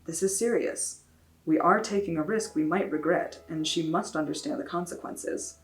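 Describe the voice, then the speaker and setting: A woman doing a dry, wary father. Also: lightly acted. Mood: serious. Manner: slow, dry and low-key.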